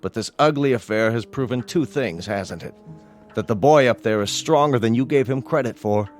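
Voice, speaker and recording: A man playing a stern, candid gentleman in a radio drama, speaking in a measured, articulate and reproachful way. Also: mid-range voice, lightly acted. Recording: faint music behind the voice.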